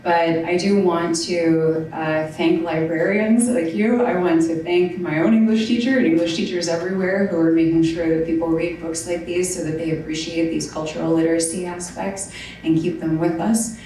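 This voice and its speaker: A woman speaks informally to a public gathering as a state representative. Her voice is low, and it reaches the mic from far off in a very echoey space with faint noise. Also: fairly even intonation, moderate pace, dark tone. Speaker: state representative giving a speech. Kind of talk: informal public speech at a dedication event.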